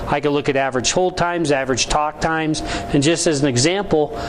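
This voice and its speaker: A man with a slightly high voice presents a business tool like a salesman. He explains things informally and instructively. Faint noise and vehicle ambience sit behind him. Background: ambient vehicle noise in a motorcycle service department.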